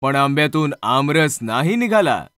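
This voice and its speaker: A man's voice: fairly high pitch, big pitch swings, a little slow, fairly bright tone, dry recording, clean studio sound. A man narrating a scripted horror story in a dramatic storytelling style.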